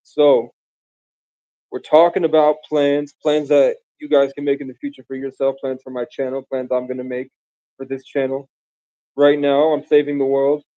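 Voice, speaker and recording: A man's voice: medium pitch, flat delivery, moderate pace, mellow, no echo, dull audio. A man from the Bronx, raised in Vermont, rambling grandly and casually to his viewers on a live stream. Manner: grandiose, meandering, casual slang. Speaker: livestreamer.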